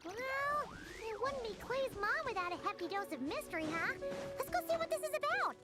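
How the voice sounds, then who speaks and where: A woman's voice: very high-pitched, big pitch swings, normal speed. A woman voicing a tiny, childlike, chirpy fairy companion in a video game. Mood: chirpy, curious. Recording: loud game music over voice.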